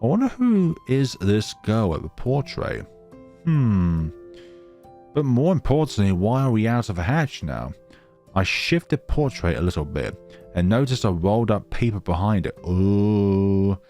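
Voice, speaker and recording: A man's voice: on the low side, sing-song, unhurried, mellow, faint music behind. A man hosting a gaming video, reading a visual novel aloud dramatically with casual, wry commentary.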